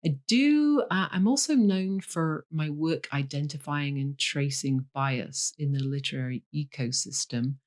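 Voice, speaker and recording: A woman's voice: deep voice, measured pace, few pauses, darkish tone. A woman from Leeds, a novelist answering interview questions candidly, with dry humour and frequent ums. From Leeds, Yorkshire, England. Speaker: guest, novelist. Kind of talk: interview over a call.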